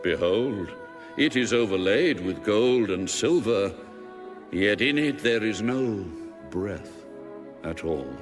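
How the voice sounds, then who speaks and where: A man giving a solemn, dramatic scripture reading in a measured, weighty, declamatory manner. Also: lowish voice, lively intonation. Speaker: audio Bible reader. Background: music under the reading.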